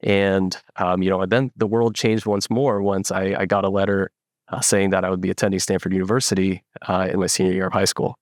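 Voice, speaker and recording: A young man from the Philippines, a startup founder, telling his story in a relaxed interview with lots of fillers and restarts. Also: deep voice, a little fast, no room sound, clean studio sound. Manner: reflective, many fillers and restarts. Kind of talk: casual interview.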